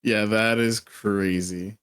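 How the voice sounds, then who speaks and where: A man's voice: lowish voice, steady tone, slow, a bit bright, clean tone, no room sound, clean studio sound. A man livestreaming casual reaction commentary in informal slang, often laughing as he talks.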